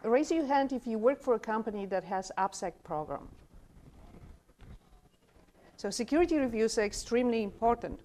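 A woman's voice: on the high side, lively intonation, quick pace, mellow. A woman in her forties from Bulgaria with a Bulgarian accent, earnestly giving a conference talk at a podium mic in a small room. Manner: earnest, gestures with both hands. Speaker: conference speaker. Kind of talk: conference presentation.

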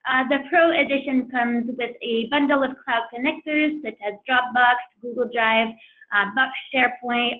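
Lady running a webinar q&a, kinda high voice, tinny phone line sound. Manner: friendly, many "um" fillers. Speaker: webinar host. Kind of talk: webinar presentation and moderation.

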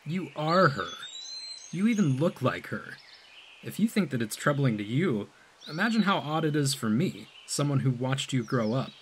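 A cryptic mentor , acted by a man, speaks in a measured, evasive way in a science-fiction audio drama. The intonation moves widely around an average male pitch, with faint noise behind. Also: sing-song, close to an everyday voice. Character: cryptic, calm male mentor guiding an android.

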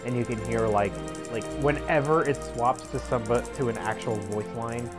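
A young adult man with a mid-range voice streams gameplay. He rambles casually in an amused, stop-and-start way, while loud game music plays behind him. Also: mid-range voice, normal speed. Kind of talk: casual live gameplay chat. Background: video game music and effects.

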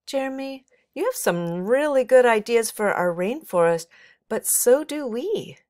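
A woman voicing a bright, earnest schoolgirl in a children's storybook, explaining things carefully. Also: a little high, expressive, unhurried, no room sound, studio quality, pitched above the performer's own voice. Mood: tactful, firm.

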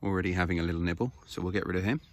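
A man's voice: sits low, racing, dry recording. A man in his thirties hosting a foraging vlog outdoors on a grassy hill, explaining things casually with fillers as he films himself on a phone held close. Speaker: foraging channel host. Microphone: phone camera held close.

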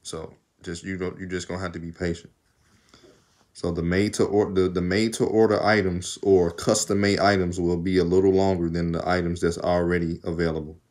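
A man's voice: deep voice, little pitch movement, fairly quick, darkish tone. A young man with a slight Southern accent, rambling casually to his phone camera in a small room, laughing often and a bit tired from undoing his braids. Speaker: vlogger. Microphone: phone camera at arm's length.

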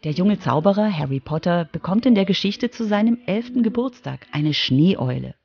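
A woman's voice: on the low side, animated, moderate pace, vocal fry, muffled recording. A woman reporter reading scripted narration for a children's radio feature.

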